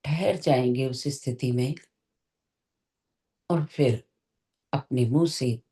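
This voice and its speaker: A meditation teacher guides a session in a calm, gentle way. The pace is very slow and deliberate, with short phrases set apart by long pauses. The pitch sits in a middle range.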